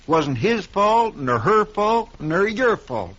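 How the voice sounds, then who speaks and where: A man's voice: high-pitched, very animated, takes it slowly, a bit bright, heavily acted. Man playing a folksy, earnest western sidekick. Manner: folksy, deferential, rustic grammar. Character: folksy, loyal male marshal's sidekick, earnest. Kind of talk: old-time radio western acting. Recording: dull, noisy lo-fi radio drama recording.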